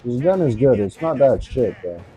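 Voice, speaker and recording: A man's voice: medium pitch, very animated, normal speed, lo-fi sound, faint music behind. A man giving casual, slangy, profane commentary as he streams a video game, with game sound behind him. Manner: slangy, profane, says "bro" often.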